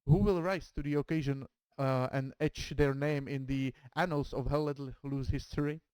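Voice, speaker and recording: A man from the Czech Republic with a Czech accent, a native Czech speaker commentating a game match in careful, deliberate English. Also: medium pitch, fairly even intonation, a little mellow, smooth, dry recording. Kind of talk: streamed game commentary, reading a dramatic intro and team roster.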